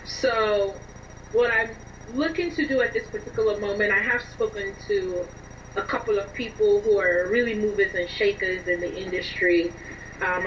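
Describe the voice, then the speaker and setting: A woman answering interview questions conversationally, in an expressive, emphatic way. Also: medium pitch. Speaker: interview guest, scriptwriter and film blogger. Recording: dull, distant, noisy line.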